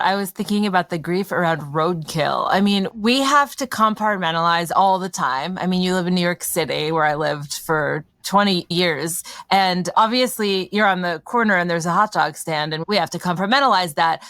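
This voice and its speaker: A woman's voice: lowish voice, no room sound. Earnest woman host, dry close sound. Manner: animated, earnest, musing aloud. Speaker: podcast host. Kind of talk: conversational podcast interview.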